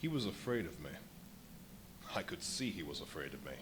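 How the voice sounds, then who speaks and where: A man's voice: sits low, sing-song, fairly quick. A man in a live radio play, playing an irritable, ravenous, worn-out and grandiose invisible fugitive scientist, with a theatrical, imperious delivery. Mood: irritable, angry. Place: live performance at a brewery venue. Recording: live stage recording with faint noise.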